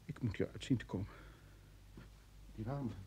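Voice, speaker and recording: A man's voice: fairly low pitch, moderate pace, lightly acted. An actor in a radio drama playing a panicked, indignant man held by security, stammering as he protests. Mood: despairing. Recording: old radio play with background noise.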